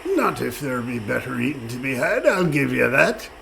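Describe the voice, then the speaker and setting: An actor playing a gruff, sly pirate ship's cook in a comic radio play, with a gravelly voice and salty dialect. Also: male voice, mid-range voice, big pitch swings, moderate pace, pushed and bright, slight background noise, exaggerated voice. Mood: sly teasing. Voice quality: gravelly, creaky.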